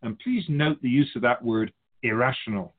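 A man's voice: mid-range voice, big pitch swings, normal speed, breathy edge, narrow, tinny audio. A man playing a wise, wry otherworldly spirit guide in a radio drama, teaching in formal, didactic phrases. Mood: measured, instructive. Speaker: spirit teacher answering questions. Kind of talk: scripted radio drama dialogue, expository.

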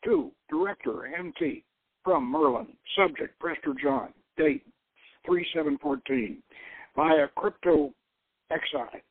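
A man voicing an adventurer hero reading out his secret messages in a clipped, deliberate way, in a novel reading. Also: sits high, no room sound, heavily acted. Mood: brisk, formal. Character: adventurer-scholar hero dictating terse, affectionate secret emails. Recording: tinny, narrow-band radio-style sound.

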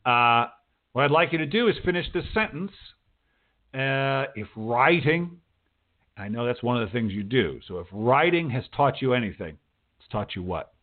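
A man's voice: medium pitch, lively intonation, normal speed. Male host on a thin, phone-like line. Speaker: talk show host, writing teacher. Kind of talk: casual interview chat. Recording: thin phone-like radio call audio.

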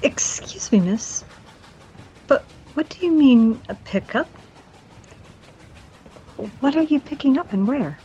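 A woman acts a young train passenger in a radio play. Her voice is high and swooping, with a soft, mellow tone. She is polite but hesitant and inquisitive, over faint background noise. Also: animated, takes it slowly, long pauses. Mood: puzzled, polite. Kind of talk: audio fantasy drama acting.

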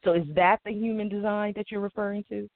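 A woman's voice: medium pitch, level tone, fast talker. A woman in her forties hosting an interview, chatting in a long-winded, storytelling way. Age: 40s. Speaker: host. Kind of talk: casual interview chat. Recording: tinny phone-like line, dry.

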